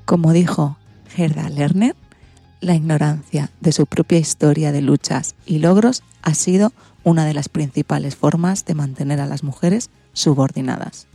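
A woman's voice: sits low, moderate pace, creaky, mellow, breathy edge, soft background music. A woman with an accent from Spain hosting a children's radio programme, partly reading from a script.

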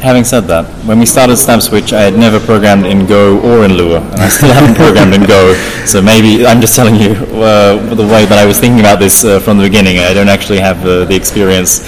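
Low-voiced man over crowd chatter. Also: fairly even intonation, fairly quick, few pauses, poor audio. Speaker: interview guest, software developer. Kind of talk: conversational technical interview answers. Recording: live recording with crowd chatter behind.

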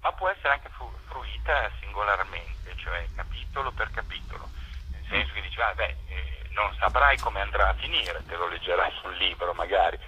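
A man gives an explanatory interview down a telephone line. His voice is fairly low and very bright, with a forceful, tinny edge. He pauses now and then to find the right word. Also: animated, breathy edge. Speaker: theatre maker interviewed as guest. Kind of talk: radio interview, explanatory talk.

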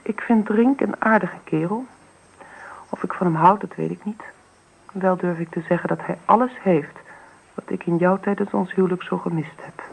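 A woman's voice: lowish voice, normal speed, gravelly, a little mellow, slightly rough, rough recording. A woman acting a composed, assertive wife and mother in a Dutch radio drama, speaking firmly and directly in a matter-of-fact way. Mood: resolute.

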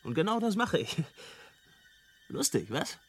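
Radio drama: breezy, experienced male space hitchhiker, slightly high voice, nonchalant explainer, amused and unflappable. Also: sing-song, a little slow, a bit bright, husky, full character voice.

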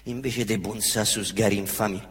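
A man acting a young Roman street hustler in a stage monologue, with a Roman accent, veering from muttering to shouting and cursing. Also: lowish voice, steady tone, moderate pace, natural voice. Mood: defiant, indignant. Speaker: stage actor. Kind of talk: theatrical monologue. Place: theatre stage. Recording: echoey theatre reverb.

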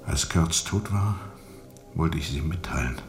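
An actor playing a haunted steamer captain who tells of a dark journey in a brooding, insistent radio play monologue. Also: male voice, rumbling low, moderate pace. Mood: weary, subdued. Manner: brooding, insistent, dramatic repetition.

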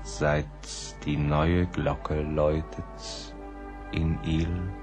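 An actor in a German radio play, playing a haunted, melancholy poet in a brooding, halting, intimate monologue. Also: male voice, a bass voice, little pitch movement, music in the background, full character voice. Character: melancholy, haunted poet musing to someone lost.

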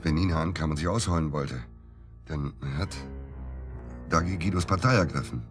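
An actor playing a bitter, agitated middle-aged widower in a naturalistic radio drama, halting and repeating himself for emphasis. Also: male voice, a bass voice, over music. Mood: scornful, suspicious.

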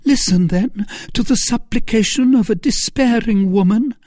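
A narrator voicing a melodramatic woman schemer in an audiobook novel, feigning pious innocence with breathy, imploring pleas. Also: medium pitch, normal speed, darkish tone, dry recording, studio quality, pitched above the performer's own voice, natural voice. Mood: desperate pleading.

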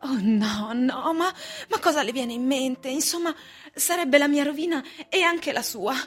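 Coy high-voiced flirt of a wife. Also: female voice, measured pace. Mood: agitated, pleading. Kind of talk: radio drama acting. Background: music.

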